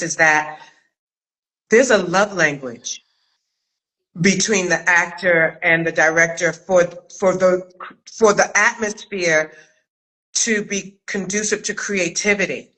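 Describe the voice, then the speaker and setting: Bright, forceful woman hosting a casual chat. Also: fairly low pitch, a little slow, poor audio. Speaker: host, theater director. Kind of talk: casual interview chat about acting craft.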